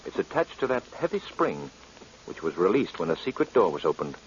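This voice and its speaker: An actor playing an assured gentleman detective in a vintage radio drama, with an earnest, clipped, theatrical delivery. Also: sits low, sing-song, a little fast, slight background noise. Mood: explaining. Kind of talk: 1940s radio drama acting. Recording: dull old radio recording.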